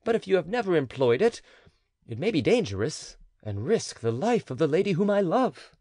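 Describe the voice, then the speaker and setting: A man's voice: on the high side, sing-song, moderate pace, warm tone, dry recording, pitched above the performer's own voice. A man reading the part of a desperate, anguished nobleman who pleads emotionally. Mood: pleading. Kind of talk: audiobook character reading.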